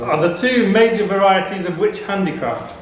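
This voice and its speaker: Guy reading pub quiz questions, high voice, really echoey room, punters behind. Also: normal speed. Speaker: quiz host and question reader. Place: indoor pub quiz venue. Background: pub crowd.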